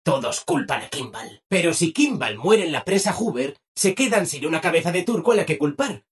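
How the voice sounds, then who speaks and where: Super cheery servile robot dude from a video game, spain spanish accent, very animated, bit of husk in the voice. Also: male voice, a little high, moderate pace, slightly rough, heavily acted. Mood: upbeat explaining. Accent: Castilian Spanish from Spain. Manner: over-eager, chirpy, ingratiating. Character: relentlessly cheerful, servile, chatty male robot assistant. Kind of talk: video game character dialogue.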